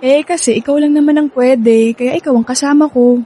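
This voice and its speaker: Sassy, high-voiced young woman. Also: fairly even intonation, normal speed, dark tone, husky. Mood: casual, wistful. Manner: teasing, brusque, sarcastic. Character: sassy, guarded young woman working at a computer shop. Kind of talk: Filipino radio drama acting.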